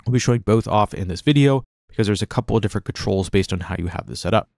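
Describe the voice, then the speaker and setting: Fast-talking low-voiced male tutorial instructor. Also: vocal fry, darkish tone, no echo, studio quality. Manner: conversational, explanatory. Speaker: music production tutorial instructor. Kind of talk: casual software tutorial narration.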